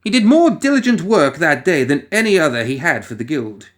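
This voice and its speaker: Expressive higher-voiced male storyteller. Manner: theatrical, musing, rhetorical asides and deliberate pauses. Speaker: audio fiction reader. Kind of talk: dramatic scripted story narration.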